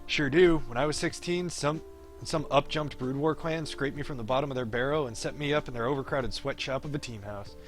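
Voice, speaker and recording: A man's voice: mid-range voice, fairly quick, close to an everyday voice. A man voicing a wry, cocky young pro gamer from a visual novel, teasing and breezy. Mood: teasing, wistful. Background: game music.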